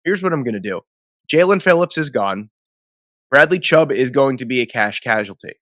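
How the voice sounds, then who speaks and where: A man's voice: medium pitch, animated, normal speed, fairly bright tone, dry recording, clean studio sound. A man, nasal and sniffly from a cold, rambling through casual football draft commentary as the host. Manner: rambling, self-correcting, conversational.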